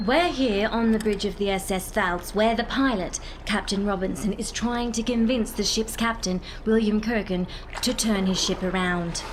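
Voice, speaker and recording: A woman playing an inquisitive reporter in a community radio play, brisk and questioning. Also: medium pitch, a little hiss or hum, lightly acted.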